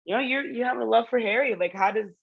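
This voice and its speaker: A woman's voice: a little high, fast, vocal fry, husky, narrow, tinny audio. A woman in a book club, talking with curiosity and asking the others questions. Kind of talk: casual book discussion.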